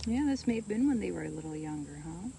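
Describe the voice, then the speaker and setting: A woman's voice: fairly low pitch, animated, quick pace, vocal fry, mellow, slight background noise. A woman hosting a children's read-along in casual chat, asking encouraging questions in a teacherly way. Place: outdoors on a marshy trail.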